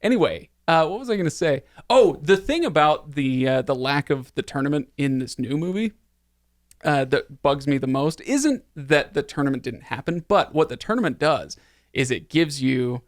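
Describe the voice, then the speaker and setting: A man's voice: on the high side, lively intonation, moderate pace, dry recording, clean studio sound. A man hosting a podcast, talking casually in a wry, rambling way about film. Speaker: podcast host.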